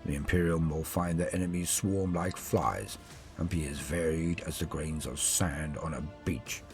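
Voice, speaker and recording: Man voicing a low, grave old warrior lord. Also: moderate pace, faint music behind. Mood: grave, commanding. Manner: solemn, portentous. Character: grizzled giant Space Marine chapter lord, grave and commanding. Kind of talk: audiobook character acting.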